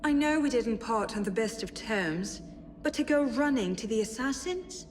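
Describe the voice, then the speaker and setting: An actress playing a spirited young French noblewoman in a video game, reading letters aloud in an expressive, measured, articulate way. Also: a little high, a little hiss or hum, natural voice. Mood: anguished, pleading.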